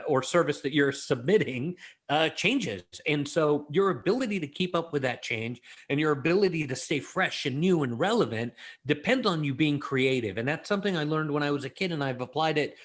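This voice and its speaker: Chatty middle-aged man telling stories. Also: fairly high pitch. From born in Israel, raised in Los Angeles. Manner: chatty, many "you know" fillers, animated. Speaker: guest, creativity expert. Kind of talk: casual storytelling interview.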